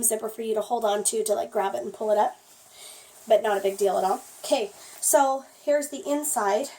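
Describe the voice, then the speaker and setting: A hoarse woman who has nearly lost her voice, chatting casually through a product review with lots of "um"s. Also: a little high, a little mellow, far from the mic, a little hiss or hum, poor audio. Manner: chatty, rambling, frequent "um".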